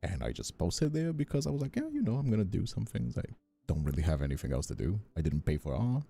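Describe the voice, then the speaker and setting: Very low, creaky young man, rambling casually. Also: wildly swooping pitch, quick pace, warm tone, dry recording, soft background music. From Brazil. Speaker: ASMR voice actor and streamer. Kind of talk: casual chat.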